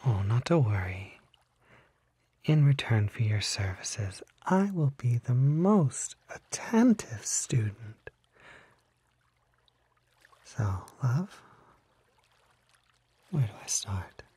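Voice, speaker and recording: Man acting as smooth-talking male kelpie, whispery, unhurried, dry close-mic sound, flattering, teasing, unsettling ASMR lure. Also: medium pitch, dry recording, full character voice. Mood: flattering, eager. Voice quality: soft, breathy, often whispered. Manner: coaxing, flattering, falsely modest, teasing. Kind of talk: ASMR roleplay, intimate one-sided acted dialogue. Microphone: close microphone.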